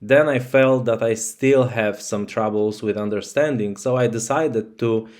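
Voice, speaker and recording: A man's voice: fairly low pitch, measured pace, darkish tone. A man whose first language is Polish, speaking English as a channel host to his viewers in a thoughtful, hesitant way with many fillers. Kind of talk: slow casual talk to camera.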